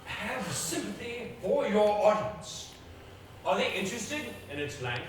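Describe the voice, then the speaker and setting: Male actor as imperious theatrical tutor, high-pitched and declamatory, stage play heard in a very echoey hall. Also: big pitch swings. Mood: exasperated, commanding. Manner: pompous, declamatory, deliberate diction. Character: imperious, exacting male acting coach with grand theatrical flair. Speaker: stage actor in an amateur two-man play. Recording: very echoey theater, distant mic, noisy.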